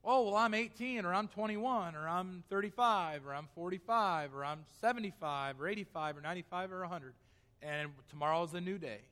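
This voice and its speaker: A man's voice: high-pitched, brisk, pushed and bright, long reverb tail. A male pastor preaching a sermon in a church, reading scripture aloud and then pressing his point with rising emphasis.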